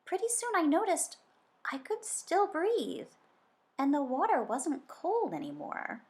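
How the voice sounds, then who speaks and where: A woman reading a children's story aloud in an expressive, dramatic way. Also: sits high, unhurried. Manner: dramatic, varying from hushed suspense to emphatic excitement. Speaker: children's book reader.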